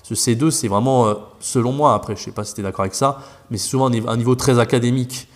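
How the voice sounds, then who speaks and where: French guy on a podcast, middle pitch, lots of euh and restarts. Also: fast talker. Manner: rambling, many fillers and restarts. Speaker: French teacher in China, guest. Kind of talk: casual podcast interview.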